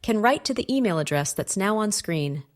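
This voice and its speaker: A woman's voice: medium pitch, animated, moderate pace, natural voice. A woman voicing a composed, helpful female puppet reporter in a fan-dubbed mock news show.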